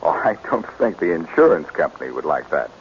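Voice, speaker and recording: An actor playing a breezy, wisecracking reformed safecracker in a 1940s radio drama. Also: male voice, rumbling low, very animated, brisk, heavily acted. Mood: charming. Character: wry, cocky male reformed thief. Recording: dull, lightly hissy old radio broadcast.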